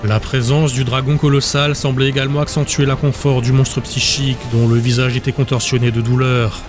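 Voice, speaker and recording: A male narrator reads a dramatic fantasy audiobook in a level, medium-pitched voice. The scripted storytelling runs over clearly audible music. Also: little pitch movement, a little fast.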